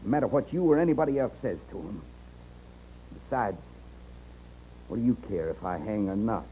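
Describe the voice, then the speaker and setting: A man playing a desperate cornered westerner accused of horse stealing, with a rough rustic drawl, in a 1950s radio western. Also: lowish voice, animated, moderate pace, mellow, natural voice. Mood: bitter, resigned. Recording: noisy muffled 1950s radio recording.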